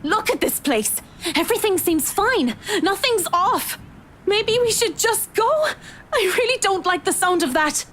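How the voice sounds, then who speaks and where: Woman doing a nervy, stubborn young woman, very high, swooping voice, indignant. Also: big pitch swings, a little slow, a bit bright, a little hiss or hum, full character voice. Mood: pleading. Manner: pleading, indignant. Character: anxious, stubborn young woman interior designer.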